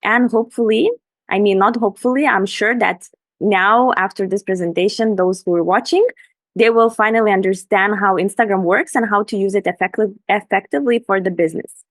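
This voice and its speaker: Enthusiastic woman coach from lithuania presenting on a call, mid pitch, dry close sound. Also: steady tone, brisk, no room sound. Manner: enthusiastic, earnest. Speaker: Instagram business coach, guest. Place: room in Bali at night. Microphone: video call.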